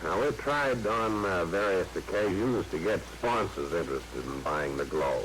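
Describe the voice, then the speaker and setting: A deadpan glow-light official. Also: male voice, sits low, moderate pace, a little hiss or hum, rough recording. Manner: deadpan, matter-of-fact. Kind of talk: 1950s radio comedy sketch.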